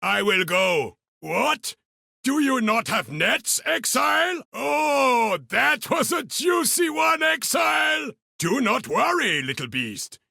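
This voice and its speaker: A man's voice: very high voice, very animated, takes it slowly, gravelly, sharp tone, no room sound, shouting, studio quality, full character voice. A man playing a boisterous, eccentric, cheerfully mad beast hunter in a video game, talking with theatrical exuberance. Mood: exuberant, gleeful. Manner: third-person self-talk, theatrical, exuberant delivery. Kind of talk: video game character voice lines.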